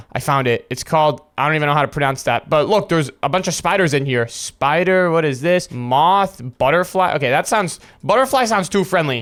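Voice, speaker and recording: Animated high-voiced man doing gameplay commentary. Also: smooth. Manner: animated, narrates own actions aloud, giggly. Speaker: video host and player pranking friends.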